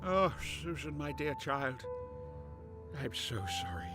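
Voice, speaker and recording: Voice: mid-range voice, very animated, slow pace. Actor doing an old grandpa time lord, fussy n hesitant, stammers a bit, music playing behind him. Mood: grave, tender. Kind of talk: audio drama acting. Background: loud music.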